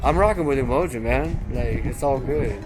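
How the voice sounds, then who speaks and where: A man's voice: medium pitch, moderate pace. Laid-back slangy vlogger man. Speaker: vlogger host. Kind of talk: casual street chat. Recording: loud music over the talk.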